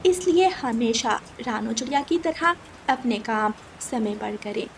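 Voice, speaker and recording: Woman narrator for a kids' cartoon, high voice, rain noise behind. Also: expressive, a little slow. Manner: clear, storytelling. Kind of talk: scripted children's narration.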